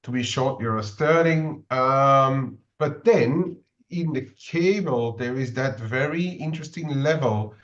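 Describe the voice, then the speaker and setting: A man talking about currency trades and price levels in a brisk, matter-of-fact way. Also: mid-range voice, a bit bright. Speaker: forex trader. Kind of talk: casual market discussion. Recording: dull lo-fi audio.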